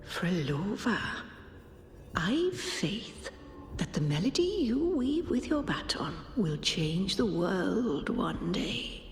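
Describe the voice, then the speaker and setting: An actress playing a gentle, hopeful, faithful woman in a game. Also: medium pitch, big pitch swings, slow pace. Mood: tender, hopeful. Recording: echoing reverb, faint noise.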